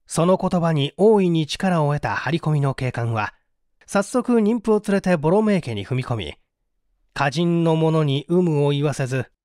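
A man's voice: on the high side, a little mellow, dry recording, studio quality. A man narrating a mystery story in a formal, theatrical, suspenseful literary reading style.

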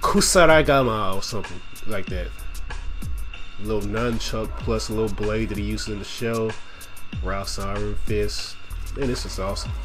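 A man's voice: on the low side, normal speed. A man with a cold giving loose, joking gameplay commentary, swearing now and then. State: has a cold, sniffly. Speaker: let's play gamer. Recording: voice over game audio with music.

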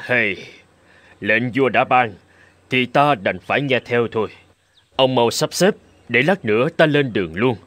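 An actor playing an amiable middle-aged lord and poet, expressive and measured, in an animated folk tale. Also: male voice, mid-range voice, no echo. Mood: lordly.

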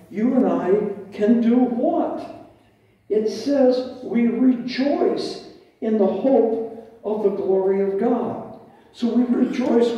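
A man's voice: high-pitched, mellow. An older man preaching a sermon in a conversational way, asking his congregation questions and adding asides. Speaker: pastor. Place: large dim church hall with stage. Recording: roomy and dull from far off.

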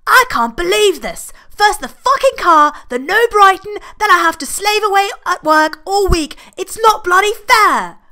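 A woman voicing a furious, sick young man who rants and swears at a shout. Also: extremely high pitch, expressive, a little slow, hardly any pauses, voice pushed up, exaggerated voice. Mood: ranting.

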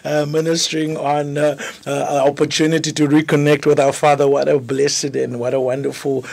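A middle-aged man, a pastor speaking on a radio devotional show in a small studio, his voice hoarse from travel, talking fluently with restarts and easy laughs. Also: fairly high pitch, level tone, brisk, a little mellow. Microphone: studio mic, headphones.

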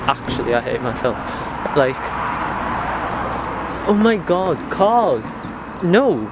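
A man's voice: on the high side, very animated, takes it slowly. A young man vlogging as he walks along a riverside path, talking in a reflective, rambling way with lots of fillers, recorded on wireless earbuds. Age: young adult. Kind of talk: casual walking vlog. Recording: outdoor street noise. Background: traffic and outdoor ambience.

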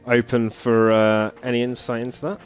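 A man's voice: medium pitch, little pitch movement, normal speed. A man streaming a game at home, chatting casually, musing aloud and reading on-screen story text, with frequent chuckles. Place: home, at the computer. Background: faint game music.

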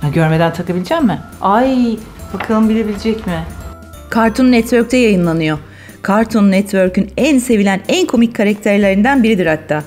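A woman's voice: medium pitch, animated, brisk, a little mellow, faint music behind. A woman hosting a family vlog, chatting casually with children as they play a game at home. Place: living room at home.

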